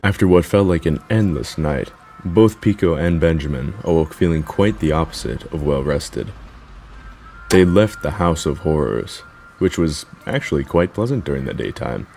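A man narrates a story from a script in an extremely deep voice. It has a gravelly creak, with slight noise in the background. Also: a little mellow.

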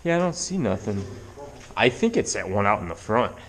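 Man with a lowish voice, relaxed think-aloud commentary, recorded inside a cave. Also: fairly quick, a little hiss or hum. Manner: easygoing, chatty, thinking aloud. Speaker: vlogger exploring caves. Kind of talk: casual handheld exploration commentary.

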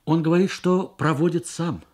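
A man voicing an earnest young woman interpreter in a radio story, explaining in a measured way. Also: fairly high pitch, fairly even intonation, a little mellow, pitched above the performer's own voice, natural voice. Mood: earnest, brisker. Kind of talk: Soviet radio story reading.

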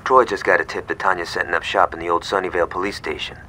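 A man's voice: deep voice, fairly even intonation, quick pace, heavy background noise, natural voice. A man playing a cocky, foul-mouthed young gangster in a video game, with a sarcastic, swaggering delivery. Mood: businesslike swagger. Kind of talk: video game cutscene acting.

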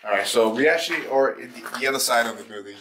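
A man's voice: medium pitch, expressive, quick pace, far from the mic. A man hosting an exploration vlog, talking casually and in slang to viewers and a companion, recorded noisily on location. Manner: informal, slangy speech. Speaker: vlog host exploring an abandoned hospital. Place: on location exploring abandoned hospital buildings and grounds. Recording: noisy handheld camera audio on location. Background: clear background noise.

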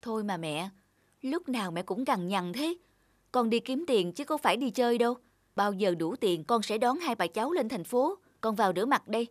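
A woman's voice: fairly high pitch, lively intonation, moderate pace, no room sound, studio quality. A woman voicing a busy, weary young working mother in a cartoon. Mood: weary, irritated. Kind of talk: cartoon voice acting.

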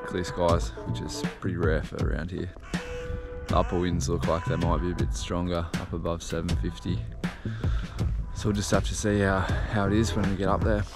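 A man in his thirties talking casually to a handheld camera outdoors in the mountains and from a car, relaxed and upbeat. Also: deep voice. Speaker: outdoor sports vlogger. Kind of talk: casual vlog narration to camera. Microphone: action camera held at arm's length.

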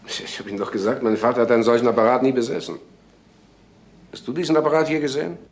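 An actor playing an impatient, insistent grown son in a radio crime drama. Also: male voice, mid-range voice, steady tone, fast talker, natural voice.

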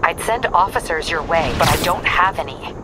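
A woman's voice: fairly low pitch, lively intonation, moderate pace, background noise, close to an everyday voice. A woman playing a weary, concerned police commander with a businesslike manner in video game drama.

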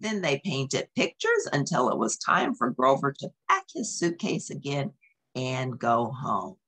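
A woman reading a storybook aloud to young children, expressive and explanatory, adding casual comments and exclamations. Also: very deep voice, measured pace. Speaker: storybook reader.

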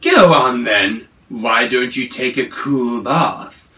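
A man voicing a greedy, fearsome male Yowie monster in a storybook, loud, slow and menacing. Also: medium pitch, animated, reverberant.

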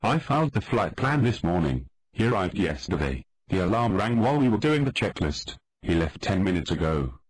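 A man's voice: low-pitched, sing-song, normal speed, slightly rough, no room sound, low-quality recording. A synthetic man's voice reading out grammar explanations and example sentences as text-to-speech.

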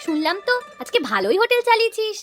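A woman's voice: very high-pitched, sing-song, racing, a bit bright, soft background music, full character voice. A woman voicing a caring, practical older village mother-in-law in a cartoon, with a matter-of-fact manner. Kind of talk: cartoon dubbing.

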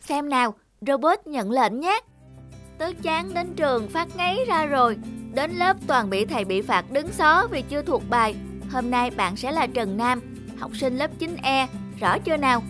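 A woman voicing a lazy, excitable teenage schoolboy in a cartoon. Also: sits high, normal speed, over music. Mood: sly, amazed. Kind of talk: cartoon dubbing.

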